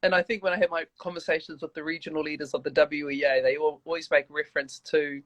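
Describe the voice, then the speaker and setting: A woman from New Zealand with a New Zealand accent, chatting as an interview guest on a video call, warm and rambling with many restarts. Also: sits low, level tone, fast, dry recording. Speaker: guest, church leader. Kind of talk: casual interview chat.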